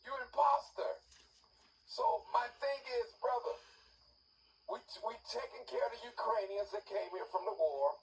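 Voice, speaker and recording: A man's voice: extremely high pitch, animated, normal speed. A man hosting a live unscripted talk show, earnest and insistent, repeating his points like a preacher. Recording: distant mic with background noise.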